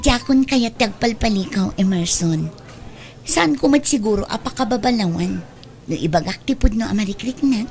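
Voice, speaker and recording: A woman's voice: fairly high pitch, animated, normal speed, a little mellow, faint music behind. A woman with a Filipino Ilocano accent playing a bold, scheming young woman in an audio drama, with noise behind her. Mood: shyly earnest. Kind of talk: Ilocano radio drama acting.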